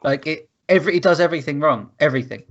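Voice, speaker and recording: A man's voice: on the high side, very fast, dull audio. A man chatting casually as a podcast co-host, ranting emphatically with swearing and repeating himself. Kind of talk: casual group chat.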